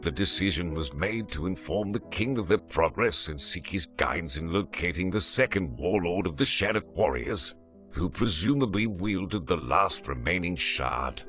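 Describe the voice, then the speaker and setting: A man's voice: deep voice, lively intonation, normal speed, fairly bright tone. A man delivering scripted narration for a fantasy game story. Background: music.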